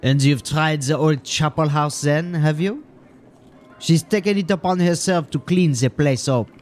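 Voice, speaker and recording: Man playing a folksy, slow-talking medieval gatekeeper. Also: a little high, darkish tone, close to an everyday voice. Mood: musing, casual. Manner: folksy, musing, colloquial turns of phrase. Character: plain-spoken deferential male town gatekeeper in medieval France. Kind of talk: audio drama dialogue.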